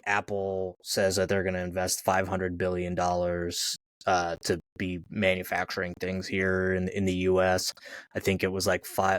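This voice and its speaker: Deep voiced guy cohosting a podcast, rambles and hedges a lot, dry mic. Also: dry recording. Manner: rambling, hedging with um and like. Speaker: podcast co-host. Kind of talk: casual political chat.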